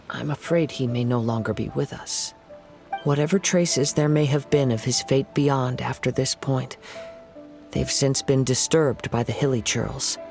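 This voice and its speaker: A man voicing a calm, thoughtful young wandering samurai in a video game, speaking in measured, formal phrases. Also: medium pitch, creaky.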